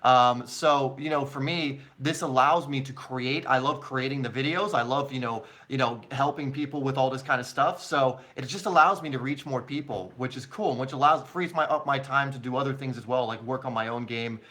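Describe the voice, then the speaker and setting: A man's voice: mid-range voice, steady tone, moderate pace, hardly any pauses, pushed and bright. A man from England who has long lived in the United States, hosting a soccer live stream and answering questions in a casual, chatty, informal way. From born in England, long living in the United States. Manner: chatty, informal, addresses viewers as mate and guys.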